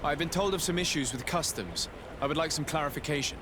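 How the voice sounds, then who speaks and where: A man playing a composed, diplomatic young noble legate in a video game, speaking formally and in measured, articulate phrases. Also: a little high, lightly acted. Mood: stern, probing. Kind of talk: video game cutscene acting. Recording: noisy outdoor ambience behind the voice.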